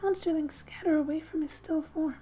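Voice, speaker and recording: A woman speaking softly and breathily in gentle ASMR style, often slipping into a whisper as she reads a game story aloud with casual asides. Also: high voice, steady tone, brisk, slight background noise. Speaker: gaming ASMR creator. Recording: quiet background music. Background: soft music.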